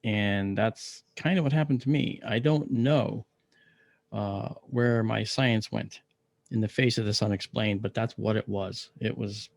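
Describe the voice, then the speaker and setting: Deep gravelly middle-aged man, throat clearing. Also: fairly even intonation, a little slow, no echo, studio quality. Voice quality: deep, gravelly voice from a paralyzed vocal cord. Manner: frequent throat clearing and filler words. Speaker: interview guest, astronomer. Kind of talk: casual interview storytelling.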